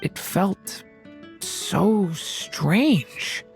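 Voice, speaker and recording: Gentle young dragon boy in a game, lightish high voice, earnest, music under it. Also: animated, drawn-out words, creaky, full character voice. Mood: troubled, reflective. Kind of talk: video game voice acting.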